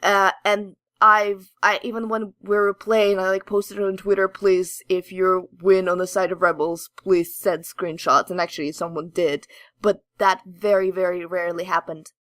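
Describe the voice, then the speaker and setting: A woman's voice: on the low side, flat delivery, normal speed, fairly bright tone, no echo, studio quality. A woman co-hosting a podcast, chatting casually about games in a hesitant, rambling way. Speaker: podcast co-host. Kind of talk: casual gaming discussion.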